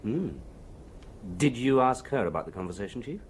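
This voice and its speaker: An actor playing a dutiful, level-headed police detective in an audio drama, businesslike and deferential. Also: male voice, mid-range voice, very animated, moderate pace, a little hiss or hum, close to an everyday voice, low-quality recording.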